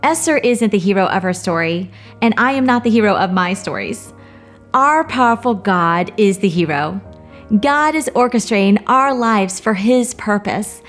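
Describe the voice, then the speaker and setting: A woman teaching a Bible study, speaking in an earnest, personal way with touches of light humor. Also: mid-range voice.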